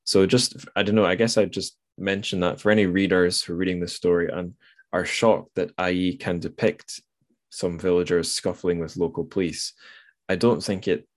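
A man's voice: low voice, steady tone, moderate pace, no room sound. A man hosting a casual literary discussion podcast, thinking aloud in a tentative, hedging way. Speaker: podcast host.

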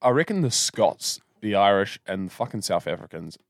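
A man's voice: fairly low pitch, sing-song, moderate pace, vocal fry, dry recording, clean studio sound. Rambling laid-back New man. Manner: rambling, laughing, swears, trails off and restarts. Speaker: podcast co-host. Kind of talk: casual banter.

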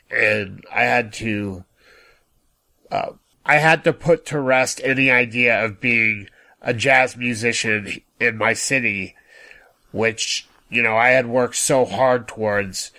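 A man in his late thirties giving a measured personal account on a podcast, in deliberate, orderly phrases. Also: mid-range voice, creaky, bright, forceful tone. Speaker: podcast guest sharing personal experience.

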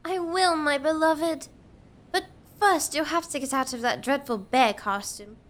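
A woman's voice: high-pitched, a little slow, fairly bright tone. A woman playing a frank, affectionate young sweetheart in a gothic comedy audio drama. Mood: loving, disgusted.

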